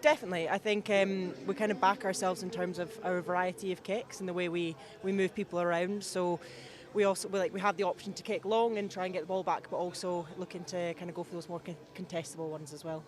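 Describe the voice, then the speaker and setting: A woman rugby player giving steady, measured answers in a pitchside interview. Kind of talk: sports interview. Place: outdoors at a rugby stadium.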